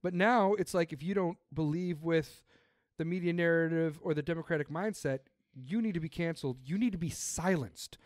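A man's voice: on the high side, normal speed, no room sound, studio quality. Profane, emphatic man ranting. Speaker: podcaster. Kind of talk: casual political chat.

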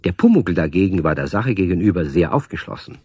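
A male narrator tells a scripted story for a children's radio play. His voice is very low and husky. The sound is dry, without room echo. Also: big pitch swings, fast, darkish tone.

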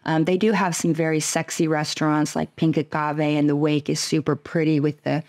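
A woman's voice: sits low, level tone, moderate pace, darkish tone. A woman travel vlogger chatting casually with her husband, rating restaurants and leading with upbeat questions. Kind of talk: casual restaurant review chat.

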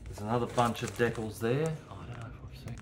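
Older guy in a hobby shop, mid pitch, easygoing unboxing chatter. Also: quick pace, a little hiss or hum. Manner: relaxed, rambling with fillers and restarts. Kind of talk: casual product unboxing. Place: hobby shop floor, indoors.

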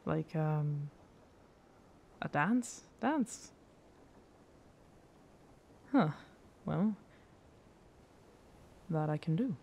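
An actor playing a gruff, guarded yet tender pirate captain in an intimate ASMR roleplay, speaking haltingly. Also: mid-range voice, expressive, darkish tone, slight background noise, heavily acted. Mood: awkward, softly teasing. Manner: slow, hesitant, halting, rough pirate phrasing. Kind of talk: ASMR roleplay audio drama, intimate second-person scene.

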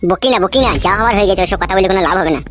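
Woman playing a fast-talking quarrelsome village husband. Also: medium pitch, a little hiss or hum, natural voice. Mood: defiant, scolding. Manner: scolding, coarse, swearing. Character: crude, quarrelsome village husband with two wives. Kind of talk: comic cartoon dialogue.

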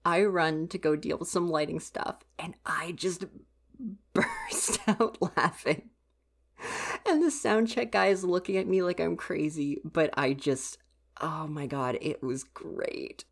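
A young woman acts out a theater stagehand in an intimate ASMR roleplay, pitched low. The character is grumpy and sarcastic, teasing and gossipy, yet quietly caring. The delivery stays casual and conversational, as if talking to one listener. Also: slow pace, gravelly, full character voice. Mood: amused gossiping. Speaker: roleplay voice performer.

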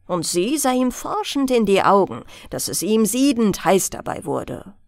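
Slow, highly expressive woman storyteller. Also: no echo, studio quality. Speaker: audiobook narrator. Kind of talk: bedtime fairy tale reading.

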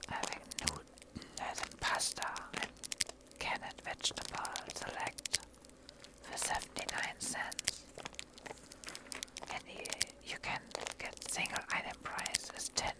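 Slow whispered ASMR with a German accent. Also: low-quality recording. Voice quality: breathy, unvoiced whisper. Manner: slow, halting, rambling. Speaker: ASMR creator. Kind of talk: whispered ASMR show and tell.

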